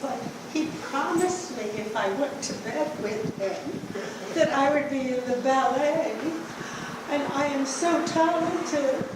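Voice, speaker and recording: A woman's voice: sits high, slow pace. A woman improv player acting as a flighty, self-absorbed would-be ballerina who rambles in a gossipy way. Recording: echoey hall from far off, loud noise.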